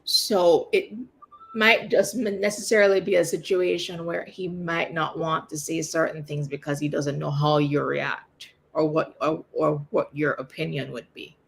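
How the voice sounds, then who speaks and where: A hoarse woman on a video call, speaking haltingly as she describes feelings while standing in for someone's husband. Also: low-pitched, fairly even intonation, slight background noise. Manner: searching, hesitant phrasing.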